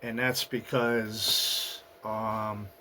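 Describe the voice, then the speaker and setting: A man hosting his own channel, talking through his past year in a halting, personal monologue, his voice raspy and hoarse from a recent flu. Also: on the low side, flat delivery, bright, forceful tone, slight background noise. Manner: halting, with fillers and restarts. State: getting over a flu, hoarse. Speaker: channel host. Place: at home in the US Northeast.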